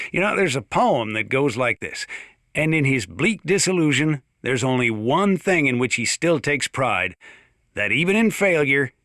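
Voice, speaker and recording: An actor playing a gruff, plain-spoken old small-town mountain sheriff with an American accent, talking over the radio in a video game. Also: male voice, medium pitch, sharp tone, no echo, studio quality. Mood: solemn. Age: older. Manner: folksy, plain-spoken, drawn-out hesitations. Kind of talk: in-game radio broadcast monologue.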